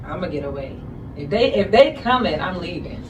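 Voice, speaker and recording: Playful female co-host, lowish voice. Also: lively intonation, moderate pace. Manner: playful, joking. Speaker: podcast co-host. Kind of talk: casual interview. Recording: echoey room, distant mic, background noise.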